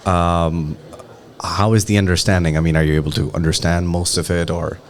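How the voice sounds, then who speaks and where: A man's voice: sits low, level tone, normal speed. A man hosting a podcast interview, asking questions and giving encouraging responses. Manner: encouraging, many short backchannels. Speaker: podcast host. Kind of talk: casual interview.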